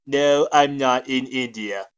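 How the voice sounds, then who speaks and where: A man's voice: medium pitch, slow pace, clean tone, muffled recording, natural voice. A man voicing an oblivious, chipper cartoon tech-support agent with cluelessly polite customer-service patter. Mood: cheerfully unhelpful. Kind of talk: cartoon fandub acting.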